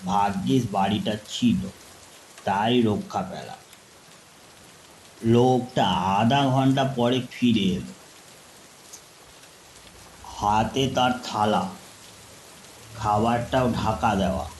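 A male storyteller delivers first-person horror narration at a slow pace. His mid-pitched voice carries strong echo, with rain faintly behind it. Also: darkish tone, a little hiss or hum. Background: rain ambience.

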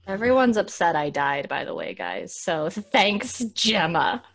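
A woman's voice: on the low side, lively intonation, measured pace, a bit bright. A woman voice actor in casual, teasing banter on a group video call. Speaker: voice actor on the show.

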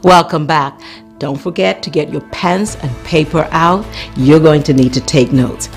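Gravelly male show announcer. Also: on the high side, measured pace, soft background music. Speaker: program announcer.

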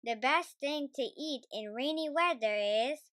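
A woman reading a children's storybook aloud, careful and expressive in her scripted narration. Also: sits high, a little slow, dry recording, clean studio sound. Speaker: storybook reader.

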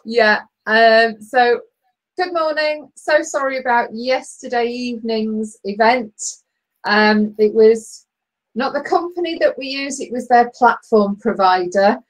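Chatty woman with a loud booming voice. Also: a little slow, poor audio. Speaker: co-host of online knitting chat. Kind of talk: casual chat. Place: bedroom at home, knitting. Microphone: laptop webcam on video call.

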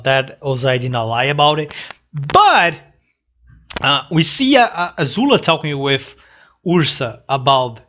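Young male reaction host, non-native English, slow and hesitant delivery, dull lo-fi headset sound. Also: a little high, sharp tone. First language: not English. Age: young adult. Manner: halting, hesitant phrasing. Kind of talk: casual reaction commentary. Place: small room indoors. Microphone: headset mic.

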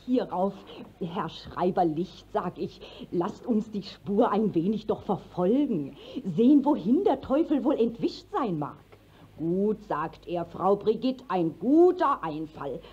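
Garrulous village woman in old radio drama, swoopy mellow voice, super dramatic, muffled vintage sound. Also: mid-range voice, very animated, slow, husky, full character voice. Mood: earnest. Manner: theatrical, vividly dramatic storytelling. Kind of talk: 1950s German radio play acting in verse. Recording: dull vintage 1950s radio recording.

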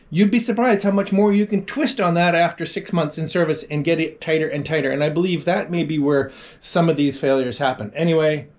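A middle-aged man with a slightly high voice walks through repair tips in a casual, self-deprecating way. He is recorded on a camera mic inside a small wooden boat cabin. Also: a little mellow. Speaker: vlogger. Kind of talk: casual how-to vlog narration.

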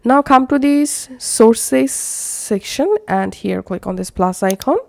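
A woman narrating a step-by-step software tutorial as an instructor. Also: a little high, slow, creaky, dry recording.

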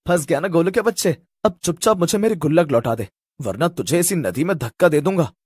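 A man's voice: on the high side, expressive, brisk, a little mellow, breathy edge, no room sound, studio quality. A man voicing an indignant rich young boy who speaks insistently and stands up for himself in a cartoon story. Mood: demanding.

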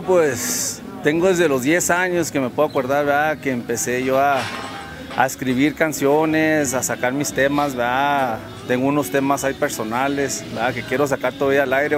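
A man in his thirties from northern Mexico, a rapper talking casually and slangily into a handheld mic outdoors, often tagging "¿verdad?". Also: mid-range voice. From Ojinaga, Mexico. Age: 30s. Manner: laid-back, slangy, keeps adding "¿verdad?". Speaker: rapper guest. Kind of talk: casual interview talk. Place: outdoors on a park bench by a street.

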